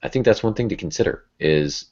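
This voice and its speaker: A man speaking hesitantly in a casual, reflective podcast conversation, halting and repeating words as he goes. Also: low-pitched, expressive, a little mellow, breathy edge. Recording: dull, muffled lo-fi audio.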